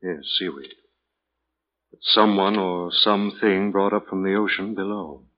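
An actor playing a composed, analytical gentleman sleuth in a 1940s radio mystery, speaking in a grave, measured way. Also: deep voice. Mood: low, purposeful. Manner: measured, deliberate, serious. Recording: dull, noisy 1940s radio recording.

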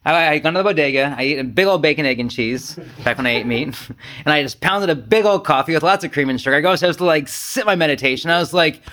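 A man's voice: a little high, expressive, quick pace, sharp tone. A man leading a casual spiritual discussion, speaking informally with self-deprecating anecdotes. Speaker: Zen group discussion leader.

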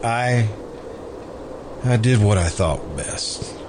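A man's voice: fairly low pitch, expressive, very slow, background noise. Man acting a haunted, guilt-ridden radio drama man, dramatic swings. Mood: grim, resigned. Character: troubled, guilt-haunted man, brooding murderer.

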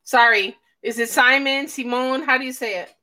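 A woman's voice: high voice, steady tone, normal speed, sharp tone. A woman from Illinois hosting a casual live chat, a little drunk, loose and laughing, swearing freely. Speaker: host.

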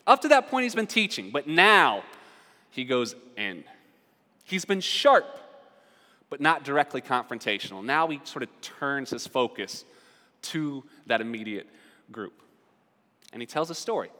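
A man preaching a sermon as a pastor, conversational and quick to ask his listeners questions. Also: medium pitch, very animated, pushed and bright. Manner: conversational, asks the audience questions. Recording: long hall reverb.

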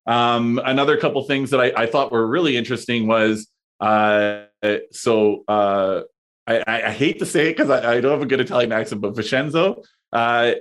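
A man in his thirties, chatting as a podcast guest, talking in a halting way with lots of fillers and restarts. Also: mid-range voice. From Canada. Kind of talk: casual conversation.

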